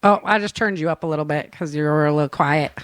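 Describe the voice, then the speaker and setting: A woman's voice: deep voice, fast, no room sound, clean studio sound. A woman hosting a casual live chat show, talking informally with plenty of everyday slang. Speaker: show host and producer.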